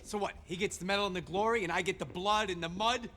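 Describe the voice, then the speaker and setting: A man's voice: sits high, quick pace, pushed and bright, slight background noise. A man playing a bitter, ambitious army colonel in a video game cutscene, griping with sarcasm. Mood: resentful. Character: bitter, ambitious American army colonel, resentful of his superior.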